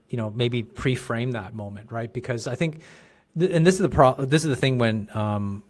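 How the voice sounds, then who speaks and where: Fast-talking Canadian man in his forties. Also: mid-range voice, darkish tone. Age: 40s. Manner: fast, rambling, restarts and laughs. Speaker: podcast guest, chiropractic coach. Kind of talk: casual interview chat.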